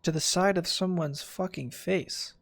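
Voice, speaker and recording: A man's voice: sits high, fairly even intonation, a little slow, vocal fry, a bit bright, no room sound. A man giving casual, sarcastic, wisecracking commentary as he streams a game.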